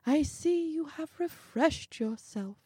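A woman's voice: sits high, expressive, slow, dark tone, no echo, pitched above the performer's own voice. A woman voicing a fussy, condescending little man in an audiobook, prim and fluttery in manner. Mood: exulting.

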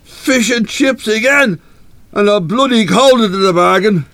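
A man's voice: high-pitched, a little slow, a bit bright, dry recording. A man with an Irish accent playing a coarse, abusive, hard-drinking husband in a live radio play. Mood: irritable, demanding. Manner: coarse, belligerent, cursing.

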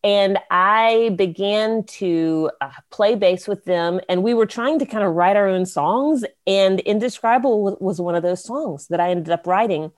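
A woman from the Carolinas chats as an interview guest. Her voice sits at an ordinary pitch for a woman. She rambles happily and laughs often at herself. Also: a little slow. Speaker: songwriter guest. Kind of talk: interview storytelling.

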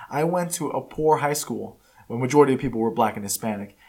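A man's voice: mid-range voice, animated, fast talker, darkish tone. A man whose first language is Spanish, hosting a podcast and giving a blunt, emphatic opinion monologue full of restarts and self-corrections. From United States. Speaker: podcast host. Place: California.

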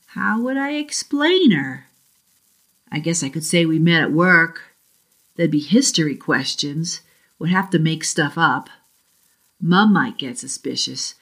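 A woman from Boston's South Shore with a Boston accent, reading her own first-person short story aloud in a measured, deliberate way. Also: fairly low pitch, fairly bright tone.

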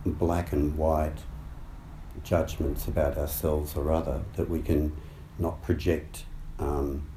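Low, dark-voiced man with a croaky throat. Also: level tone. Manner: halting, thoughtful, many fillers and restarts. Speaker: meditation teacher. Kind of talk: dharma talk. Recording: roomy echo, faint background noise.

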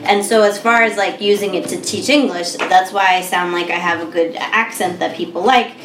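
A woman's voice: mid-range voice, normal speed. Woman, American accent, middle-aged, podcast chat, laughs a lot, echoey room, music behind. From United States. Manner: animated, laughs often, talks in restarts. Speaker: podcast co-host. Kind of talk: casual chat. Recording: roomy sound with music behind.

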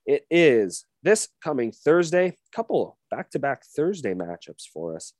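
A man's voice: mid-range voice, big pitch swings, a little slow, darkish tone, dry recording, clean studio sound. A man co-hosting a sports podcast, running through game details in a casual chat. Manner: rundown of game details, hedging fillers. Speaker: podcast co-host.

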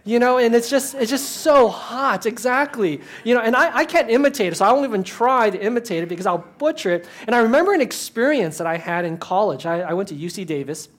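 A man's voice: extremely high pitch, expressive, fast talker, hardly any pauses. A man in ministry giving a conversational talk to an audience, chatty and self-deprecating, with asides and many restarts.

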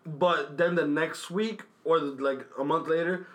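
A young man with a slightly high voice tells a meandering story in loose, slangy talk. He pauses to hunt for words and circles back, in an easy podcast chat. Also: steady tone. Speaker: podcast co-host. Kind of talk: casual storytelling.

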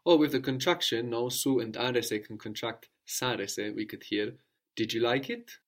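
A man's voice: mid-range voice, moderate pace. A man teaching a language lesson, explaining patiently and prompting his student with questions.

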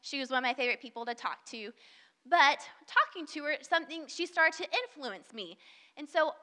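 Bright Southern woman preaching in a hall. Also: high voice, lively intonation, a little fast. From Southern United States. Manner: earnest, conversational preaching with scripture reading. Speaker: guest speaker at a church service. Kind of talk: sermon. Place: church sanctuary.

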